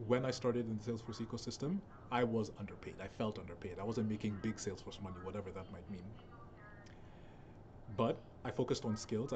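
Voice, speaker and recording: A young man from Kenya gives a recorded talk to an event audience, explaining things and repeating phrases for emphasis. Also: on the low side. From Kenya (Nairobi). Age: young adult. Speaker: speaker, software professional. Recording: steady background noise.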